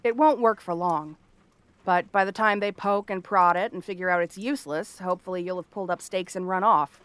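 A woman's voice: lowish voice, fairly quick, no echo, close to an everyday voice. A woman playing a cranky exiled princess turned frog girl in a fantasy ASMR roleplay, haughty, sarcastic and theatrical. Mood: grudgingly conceding. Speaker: voice actress.

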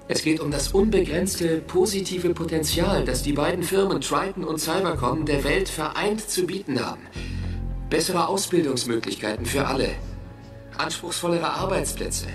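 A man's voice: a little high, close to an everyday voice. Echoey, solemn businessman at the podium, played by a man. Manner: formal, solemn, declamatory. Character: earnest businessman giving a solemn, impassioned speech. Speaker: company executive. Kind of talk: formal speech in a radio play. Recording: echoey hall, distant, music behind.

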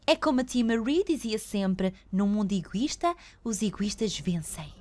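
A woman with a European Portuguese accent voicing a selfish, haughty young theatre star in an animated film dub. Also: mid-range voice, moderate pace, heavy background noise, natural voice. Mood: self-important, cold. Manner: haughty, commanding. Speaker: fan dub voice actor.

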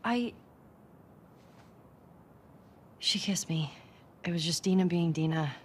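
Game role: guarded young female survivor, played by a woman, low register, dry, awkward, mumbled. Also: moderate pace, slight background noise, close to an everyday voice. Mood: awkward, flustered. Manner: casual, mumbled, sweary, dry. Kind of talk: naturalistic video game acting.

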